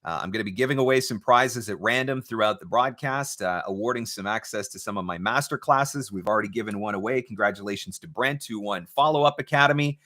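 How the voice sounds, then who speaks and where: A man's voice: lowish voice, fast, few pauses, bright, forceful tone. A man hosting a live question-and-answer broadcast, giving conversational, instructive advice with emphatic repetition of key points. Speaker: host, voice-over marketing coach.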